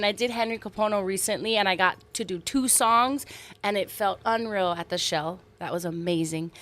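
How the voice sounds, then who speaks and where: An earnest woman from Hawaii in her thirties, speaking at a middle pitch. She tells her stories with animated, heartfelt warmth in a relaxed interview. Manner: warm, earnest, animated storytelling with soft laughs. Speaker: singer-songwriter guest. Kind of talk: casual interview chat.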